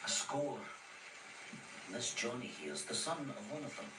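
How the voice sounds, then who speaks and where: Man acting a gentle sailor, distant echoey recording. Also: medium pitch, sing-song, normal speed, lightly acted. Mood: wistful. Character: gentle, loyal sailor and cook, sentimental about his cat. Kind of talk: radio drama acting. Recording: roomy, distant, noisy recording.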